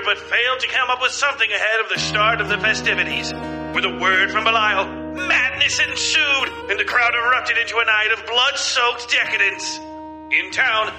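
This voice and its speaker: A man delivering a scripted, theatrical recap for a horror fiction podcast in measured storytelling tones. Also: sits high, rough recording. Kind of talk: scripted dramatic recap narration. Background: music.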